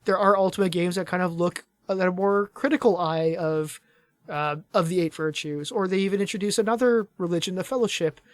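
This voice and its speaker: A man's voice: sits high, fairly quick, dry recording. A man co-hosting a casual gaming podcast, rambling and chuckling as he talks, his voice tired from hours of talking. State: tired voice starting to fade after hours of talking. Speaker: podcast co-host.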